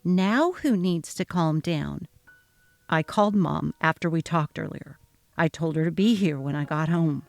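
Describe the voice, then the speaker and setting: Brief: woman reads young husband, placating and evasive, lowered voice, dramatic text-story narration. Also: a little slow, vocal fry, darkish tone, no echo, soft background music. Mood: defensive. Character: worried young husband, placating and conflict-avoidant.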